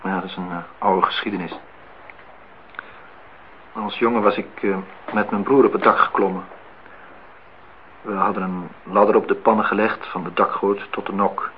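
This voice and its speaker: A man acting a lonely, brooding engineer in a radio play. His voice sits fairly low and he has an insistent, melancholy temper. The recording is muffled, with some hiss and noise. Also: steady tone, moderate pace, pauses often, close to an everyday voice. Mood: wistful reminiscence. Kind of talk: radio play dialogue and monologue.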